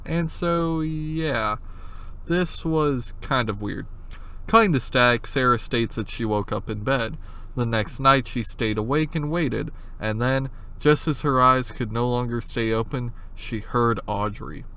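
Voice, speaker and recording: A man's voice: mid-range voice, a little slow, pushed and bright, smooth. Man narrating casual, explanatory horror commentary. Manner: informal, explanatory. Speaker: video essayist, horror series analyst.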